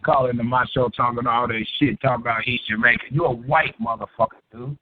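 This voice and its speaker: A man's voice: mid-range voice, fairly even intonation, a little fast, dry recording. A man hosting a call-in show, talking bluntly and confrontationally, swearing often and pressing callers with pointed questions. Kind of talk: combative call-in talk. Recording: tinny phone-line audio.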